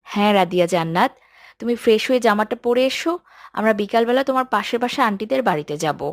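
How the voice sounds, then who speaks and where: A woman voicing a calm, kindly mother in a cartoon, speaking gently and with measure. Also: mid-range voice, fast, no echo, studio quality, pitched below the performer's own voice, natural voice. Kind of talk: cartoon dialogue.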